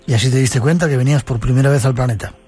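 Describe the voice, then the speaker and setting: A man hosting a radio interview, asking brisk questions. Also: mid-range voice, a little mellow, husky, faint music behind.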